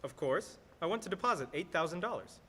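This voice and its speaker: A man's voice: sits high, animated, fast talker, fairly bright tone. A man playing a flustered, forgetful old uncle in an old-time radio play. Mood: absent-minded. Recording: noisy, reverberant stage recording.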